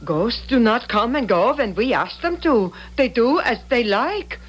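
An actress playing a timid, superstitious household servant in a 1970s radio drama, hesitant and deferential. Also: female voice, a little high, animated, measured pace, rough recording. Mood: fearful. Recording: hissy old radio recording.

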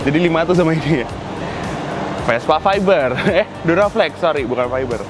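A man's voice: on the high side, animated, moderate pace, over loud music, rough recording. Chatty young man in a workshop. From Indonesia. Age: young adult. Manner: chatty, slangy, laughs often. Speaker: custom workshop vlogger. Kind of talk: casual walk-through vlog. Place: large indoor car workshop.

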